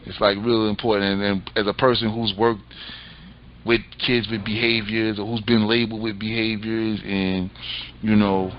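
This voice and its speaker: A man co-hosting an interview, speaking earnestly in a halting way with many restarts. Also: on the low side. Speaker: co-host. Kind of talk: casual interview chat. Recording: dull lo-fi sound with faint noise.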